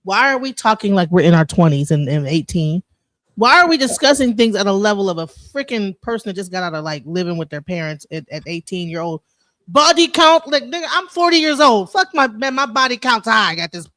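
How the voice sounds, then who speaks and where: A woman in her forties talking bluntly and profanely in a loud, mocking way during a casual discussion. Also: mid-range voice, sing-song, fairly quick, dry recording, clean studio sound. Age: 40s.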